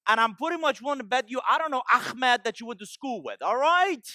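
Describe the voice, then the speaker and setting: A man's voice: extremely high pitch, lively intonation, a little fast, bright, forceful tone, no room sound, studio quality. A man from Iran giving a business keynote with jokes, often asking the audience "right?". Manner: tags lines with "right?" to the audience. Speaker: keynote speaker.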